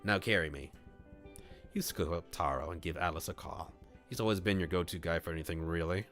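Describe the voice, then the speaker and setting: A man with a low voice streams a visual novel read-along, with music playing clearly behind him. He moves between storybook-style narration and casual chat, often thinking out loud. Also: fast talker. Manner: thinks aloud in casual asides. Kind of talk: casual livestream chat mixed with scripted narration. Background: clear background music.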